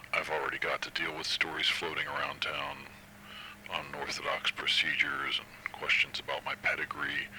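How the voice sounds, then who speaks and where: An actor playing a sinister, secretive doctor recorded on tape, speaking in a cold gravelly whisper. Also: male voice, rumbling low, bright, forceful tone, heavily acted, low-quality recording.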